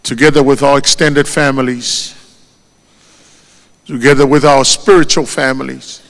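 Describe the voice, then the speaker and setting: A man with a Tongan accent, whose first language is Tongan, delivering a formal funeral eulogy in a solemn, measured way and lifting his voice on each name. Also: mid-range voice, little pitch movement, gravelly, a bit bright. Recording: long hall reverb.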